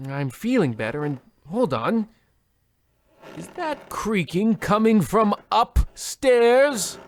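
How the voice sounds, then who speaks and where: A man's voice: high-pitched, very animated, slow, a little hiss or hum, full character voice. An actor playing a pompous, overwrought husband half out of his wits, theatrical and florid, in a comedic old-time radio play. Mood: haunted.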